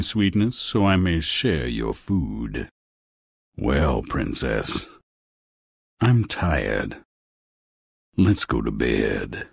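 A smug, courtly frog king from a fairy-tale game. His low voice swoops theatrically as he wheedles, and he takes his time over the words. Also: creaky, breathy edge, no echo, studio quality, heavily acted. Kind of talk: video game fairy-tale character acting.

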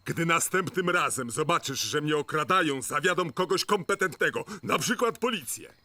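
An actor playing an arrogant, calculating tycoon villain in a dubbed cartoon, with a smooth, commanding manner. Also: male voice, a little high, lively intonation, normal speed, bright, forceful tone, no echo. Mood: irritated, scornful.

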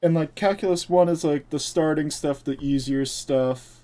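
A man's voice: a little high, moderate pace, dark tone. A young man with an American accent chatting casually on a gaming livestream, joking and self-deprecating. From United States. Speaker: live streamer. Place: streamer's own mic over game audio. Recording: game music under the voice.

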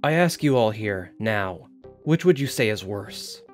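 A man's voice: lowish voice, animated, measured pace, faint music behind. An actor playing a defiant male site director in an audio drama, formal, insistent and sardonic as he argues his case. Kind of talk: scripted audio drama interview.

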